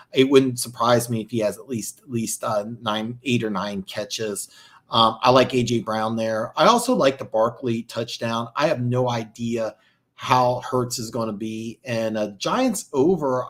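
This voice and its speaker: A young man doing live play-by-play and reaction for a football game, excitable and prone to fillers, with a sore throat as he starts to lose his voice. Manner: excitable, jumps high and loud on big plays, lots of fillers. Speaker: amateur play-by-play commentator.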